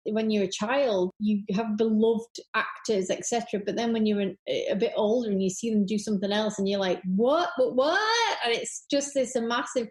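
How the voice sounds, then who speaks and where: A woman's voice: on the high side, darkish tone. A woman with a British accent chatting casually as a podcast guest, acting out her reactions as she tells anecdotes. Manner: acts out her reactions in quoted exclamations. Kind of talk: casual, animated anecdotal chat. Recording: echoey room sound.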